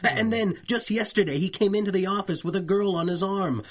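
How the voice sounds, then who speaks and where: An actor playing a defensive, proper police commissioner with a formal, fussy manner in a 1930s style radio drama. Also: male voice, high voice, brisk. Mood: grudging, bemused.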